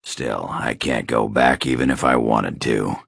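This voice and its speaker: An actor playing a gruff, crude, boozy male dwarf warrior in a video game, with a raspy growl and a coarse, blustering, grumbling manner. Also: rumbling low, unhurried, a bit bright, no room sound, clean studio sound, exaggerated voice. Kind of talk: video game character dialogue.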